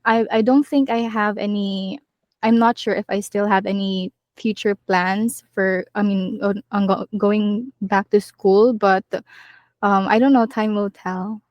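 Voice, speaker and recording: A woman in her twenties, a call center agent being interviewed, answering earnestly with many pauses and ums. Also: medium pitch, steady tone, a little mellow, no echo, highs cut off. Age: 20s. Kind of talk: casual interview chat.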